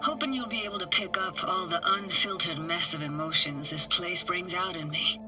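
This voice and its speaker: A woman's voice: on the low side, moderate pace, over music, natural voice, low-quality recording. An actress playing a wry, guarded young woman techie diver in a video game, with clipped, teasing, slangy phrasing. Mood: wistful, earnest. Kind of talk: video game voice acting, casual dialogue.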